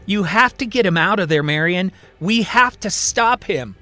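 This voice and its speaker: Urgent, insistent male president played by a male storyteller, raised pitch, pleading his case in an audio serial, music underneath. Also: expressive, moderate pace, bright, forceful tone. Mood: alarmed, urgent. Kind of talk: dramatised dialogue in a storytelling podcast.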